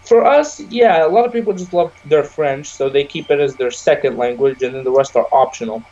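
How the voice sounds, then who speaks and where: A young man whose first language is Arabic, chatting casually on a podcast. His voice sits a little higher than most men's and he rambles, tossing in "you know" all the time. The recording is dull and muffled. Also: brisk, darkish tone. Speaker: podcast co-host. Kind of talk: casual chat.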